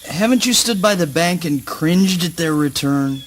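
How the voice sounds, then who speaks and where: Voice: mid-range voice, lively intonation, moderate pace, slight background noise, natural voice. An actor playing an earnest, troubled seeker in a spoken word play, questioning in an insistent, formal way.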